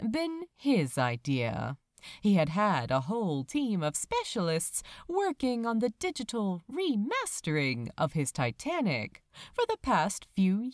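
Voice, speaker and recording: A woman reading fan fiction aloud as a narrator, with measured, expressive storytelling delivery. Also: fairly low pitch, no echo, studio quality. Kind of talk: scripted audiobook-style narration.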